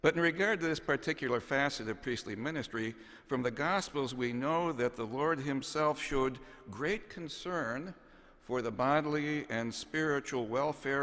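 Slow, solemn male bishop in echoing cathedral. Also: mid-range voice, a bit bright. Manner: measured, solemn, deliberate phrasing with pauses. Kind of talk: homily, read from notes. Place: large cathedral, at the pulpit. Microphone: gooseneck pulpit microphone. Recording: long cathedral reverb.

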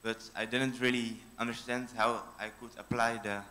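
A young man with a Dutch accent, giving a hesitant personal testimony with many pauses on a handheld mic in a church hall. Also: medium pitch, level tone, pushed and bright. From Netherlands. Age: young adult. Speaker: mission team member. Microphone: handheld microphone.

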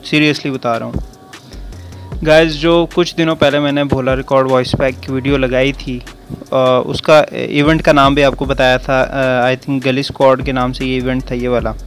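A man's voice: medium pitch, smooth. A man giving a casual, conversational explainer for a mobile game video, speaking directly to his viewers. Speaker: gaming video creator. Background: music.

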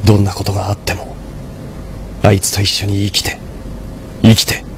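A man's voice: fairly low pitch, completely flat delivery, normal speed, noisy background, lightly acted. Man acting a cool, resolute young mafia lord. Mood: firm resolve. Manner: terse, commanding, composed. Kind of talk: Japanese drama CD acting.

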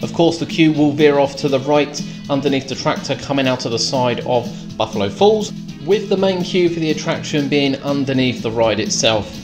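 A British man giving a measured, descriptive voice-over tour as a theme park vlogger. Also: medium pitch. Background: clear background music.

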